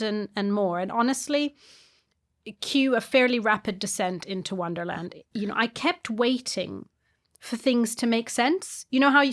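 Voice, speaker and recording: A woman raised in South Africa and Brazil hosts a podcast conversation, with a mid-pitched voice. She talks articulately and expressively, leading her co-host with questions and stories.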